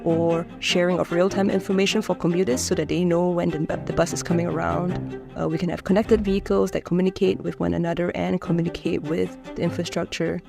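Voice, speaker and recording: A woman, explaining her research in a measured way with frequent fillers and restarts. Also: on the low side, level tone, vocal fry, over music. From Singapore. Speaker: engineering researcher. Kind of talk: explanatory interview talk.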